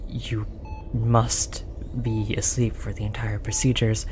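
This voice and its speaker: A performer voicing a gentle, apologetic alien researcher, speaking softly and hesitantly in an earnest, reassuring roleplay style. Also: medium pitch, flat delivery, slow, close to an everyday voice. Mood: soothing, gentle. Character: tall, apologetic, gentle alien researcher soothing an abducted captive. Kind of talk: roleplay audio drama, soft comforting delivery. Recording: audible background noise, monitor beeping.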